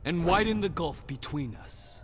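An actor playing a proud, taunting, confident Shaolin warrior monk in a fighting game. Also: male voice, fairly high pitch, lively intonation, a little slow, noisy background. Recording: music behind the voice.

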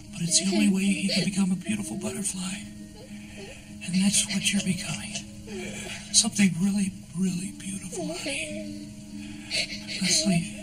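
An actress playing a creepy, soothing woman who hushes and coos at a captive child, calling her honey. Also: fairly low pitch, close to an everyday voice. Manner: hushing, cooing, repeats "honey". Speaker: maternal captor. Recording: film audio from a distance, music behind.

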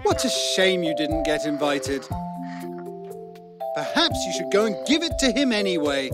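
A man's voice: high-pitched, very animated, normal speed. A man playing a cheery, mock-kindly British narrator of a children's storybook video game, theatrical and sweetly condescending. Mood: sweetly sly. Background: music.

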